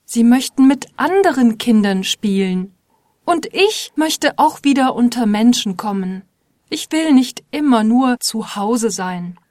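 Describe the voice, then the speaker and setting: A woman playing a determined mother in a slow, clearly spoken scripted dialogue. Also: on the high side, lively intonation, a little mellow, dry recording, studio quality. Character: determined mother wanting to return to work.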